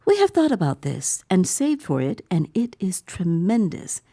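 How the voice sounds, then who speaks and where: Low, creaky awed, wry storyteller. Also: female voice, wildly swooping pitch, normal speed, warm tone, full character voice. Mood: giddy, wry. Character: awed theme-park visitor in a bleak future, speaking as "we". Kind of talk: dramatic first-person audio fiction narration.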